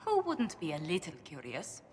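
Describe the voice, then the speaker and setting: An actress voicing a polite, flustered court diplomat in a video game, prim and courteous. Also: female voice, on the low side, wildly swooping pitch, normal speed, darkish tone, slight background noise. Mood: embarrassed.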